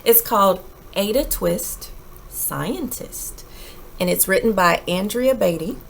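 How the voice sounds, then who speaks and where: A woman reading a children's picture book aloud in an expressive, animated way with dramatic emphasis. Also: medium pitch, takes it slowly, gravelly, a little hiss or hum.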